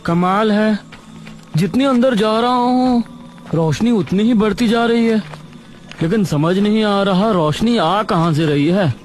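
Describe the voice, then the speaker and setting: A man's voice: high voice, measured pace, pitched above the performer's own voice. A man voicing a young, educated, curious village schoolteacher in a cartoon story, speaking earnestly and with wonder. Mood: intrigued. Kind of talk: Hindi cartoon story dialogue.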